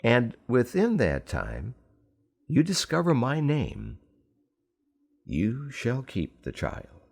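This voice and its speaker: A sly little goblin of a man from a storybook fairy tale, acted out by a male reader. He is insistent and gleeful, drawing out his words slowly with big, swooping intonation. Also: medium pitch, huge pitch swings, creaky, darkish tone, husky, voice pushed up, heavily acted.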